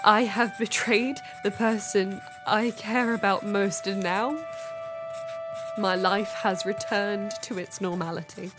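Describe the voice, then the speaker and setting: A woman playing a sheltered, melancholy young Victorian gentlewoman in a halting diary monologue for radio drama. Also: mid-range voice, steady tone, music in the background, natural voice. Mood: despairing. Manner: wistful, halting.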